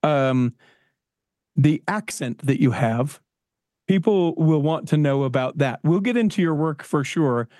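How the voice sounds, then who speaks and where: Upbeat, slightly high-voiced male host. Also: lively intonation, measured pace, a little mellow, no room sound, clean studio sound. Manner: enthusiastic, rambling, with many fillers and restarts. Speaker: podcast host. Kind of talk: casual interview.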